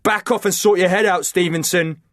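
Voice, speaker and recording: A man's voice: high voice, steady tone, moderate pace, fairly bright tone, dry recording, clean studio sound, pitched above the performer's own voice. A man voicing a young junior soldier who leads a fire team and barks orders until his voice cracks into screams. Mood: stern.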